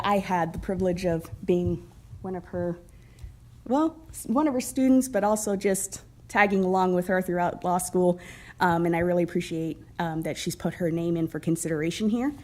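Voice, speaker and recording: A woman's voice: fairly low pitch, a little mellow. A woman introducing appointees at a city council meeting, friendly, with frequent ums. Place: council chamber.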